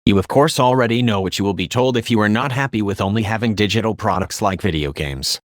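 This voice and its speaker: A synthetic text-to-speech male voice reading scripted commentary as a video host, in a flat, measured way. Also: on the low side, no room sound, clean studio sound. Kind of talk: scripted narration.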